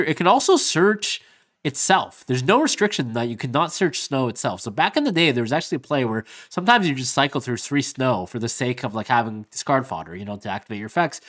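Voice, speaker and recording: Fast-talking sniffly man hosting a video. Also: mid-range voice, fairly bright tone. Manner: rambling, many "like" and "uh" fillers, upbeat. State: losing his voice, sniffly from illness. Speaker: YouTube host. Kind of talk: casual card-game deck walkthrough.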